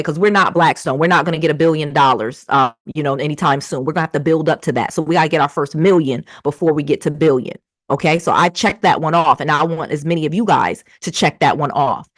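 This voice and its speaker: This is an American woman in her forties with a slightly low voice. She speaks informally, like a coach hosting a live session, leaning hard on key phrases and repeating them to persuade. The sound is dry, with very little room in it. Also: no echo. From Connecticut, United States. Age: 40s. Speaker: real estate investor and coach hosting a livestream. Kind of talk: casual motivational livestream talk.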